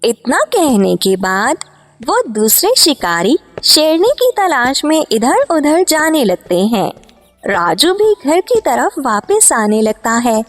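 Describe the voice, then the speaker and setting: A woman reading scripted narration for a cartoon story, as the storyteller. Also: high voice.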